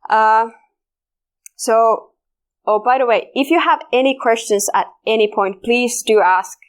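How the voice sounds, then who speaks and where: A woman's voice: fairly high pitch, a little slow. A woman with a Finnish accent giving a conversational technical talk at a security conference, with frequent fillers and restarts. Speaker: conference speaker. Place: conference room.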